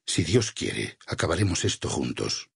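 An actor playing a stern, vengeful religious war leader in a Spanish video game dub, with a Castilian accent, a husky rasp and solemn, preacherly phrasing. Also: male voice, a bass voice, very animated, moderate pace, fairly bright tone, no echo, studio quality, exaggerated voice. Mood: grim, resolute. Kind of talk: dubbed video game voice acting.

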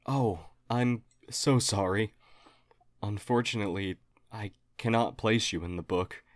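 A man's voice: lowish voice, a little slow, dry recording, studio quality, lightly acted. A man playing a friendly alien historian, formal, earnest and hesitantly apologetic, in an audio roleplay. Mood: apologetic, flustered. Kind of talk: audio roleplay acting, addressed to listener.

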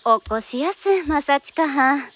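High, lilting Kyoto teahouse hostess. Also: female voice, animated, takes it slowly. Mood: gracious. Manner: soft, courteous, welcoming. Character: gracious youthful Kyoto teahouse proprietress near forty.

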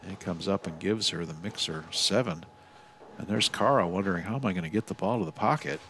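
A man doing live bowling commentary, giving measured analysis with excited calls on shots. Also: sits low, a little hiss or hum, low-quality recording. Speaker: sports commentator.

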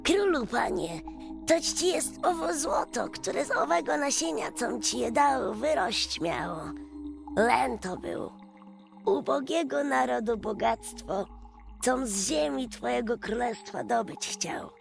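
A woman voicing a patient, wise old male prisoner in a storybook, speaking in a slow, emphatic, creaky way. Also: a little high, animated, voice pushed up, full character voice. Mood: reproachful, solemn. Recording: music behind the voice.

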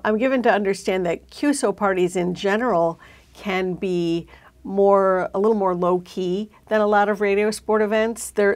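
A middle-aged woman hosting a conversational interview, recorded indoors in a studio on a lapel mic. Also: mid-range voice. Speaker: interview host. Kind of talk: conversational interview on a hobby show.

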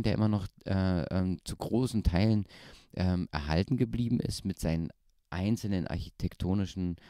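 A man in his thirties talks easily through an interview, his voice low and mellow. He hesitates often with fillers and restarts, staying relaxed. The handheld mic sounds dry and close. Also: no echo. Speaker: actor interviewee. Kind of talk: casual interview answers. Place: small indoor room with pale walls. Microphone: handheld microphone.

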